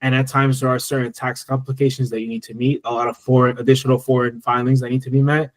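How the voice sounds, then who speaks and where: A man's voice: mid-range voice, level tone, fast, dry recording. A man giving tax advice in a webinar Q&A, talking loosely with many restarts. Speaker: tax strategist. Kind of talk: webinar Q&A answers. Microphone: video call.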